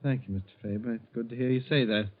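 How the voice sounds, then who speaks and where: An actor playing a meek, middle-aged bank teller with secret schemes, earnest and hesitant in a 1940s radio style. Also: male voice, mid-range voice, steady tone, fast talker, close to an everyday voice. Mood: meek, deferential. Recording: thin, tinny 1940s radio recording.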